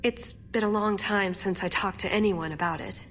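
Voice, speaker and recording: A woman's voice: mid-range voice, fairly even intonation, moderate pace, faint music behind, close to an everyday voice. A woman playing a wry, earnest young elven agent in a video game. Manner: flippant and wry, turning earnest.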